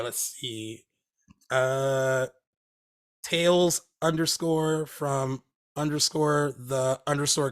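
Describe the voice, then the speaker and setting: Rambling male podcast co-host. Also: mid-range voice. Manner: relaxed, rambling, many fillers and restarts. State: recovering from laryngitis. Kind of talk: casual podcast chat. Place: Hermosa Beach, California.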